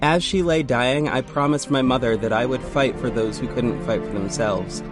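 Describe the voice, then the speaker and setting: A man's voice: mid-range voice, moderate pace, music in the background, close to an everyday voice. A man playing a resolute vigilante hero and private investigator, narrating a scripted audio drama. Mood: solemn, determined. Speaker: podcast host and audio drama actor.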